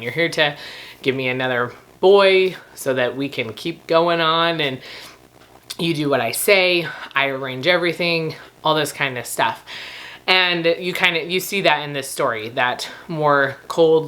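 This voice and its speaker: A woman with a slightly rough, recovering voice, rambling casually and informally to camera as she reviews books. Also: deep voice, fairly bright tone. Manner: informal, laughs often while talking. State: slightly rough, gravelly voice after losing it. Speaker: book review vlogger.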